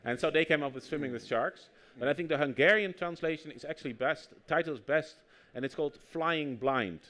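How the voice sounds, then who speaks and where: Dutch-accented male guest author, somewhat high pitch, animated storyteller who acts out quotes, public talk setting. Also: brisk. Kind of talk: conversational interview on stage.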